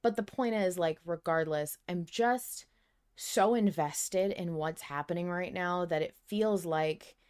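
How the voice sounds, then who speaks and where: A woman with a fairly low voice chats as a podcast co-host. She is animated and emphatic, working through fan theories out loud in a loose, conversational way. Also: a little slow, clear, resonant tone. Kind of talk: casual fan theorizing discussion.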